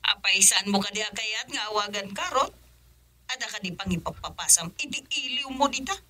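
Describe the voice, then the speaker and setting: Teasing wife, radio serial. Bright, forceful voice, very animated, expressive delivery. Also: female voice, mid-range voice, a little fast. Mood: reproachful. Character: teasing, needling wife of a businessman. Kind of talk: Ilocano radio drama acting.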